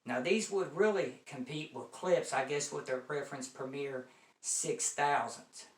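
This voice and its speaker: A man's voice: on the high side, unhurried. An American man giving a casual, folksy product review to viewers, repeating points for emphasis. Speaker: home audio equipment reviewer. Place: indoor room. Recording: echoey room, distant microphone.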